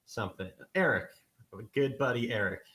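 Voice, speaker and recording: A man's voice: medium pitch, animated, normal speed, fairly bright tone, lightly acted. A man playing a police constable who is trying too hard to seem hip, rambling eagerly as a radio guest with lots of filler words. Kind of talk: comedic improvised radio talk.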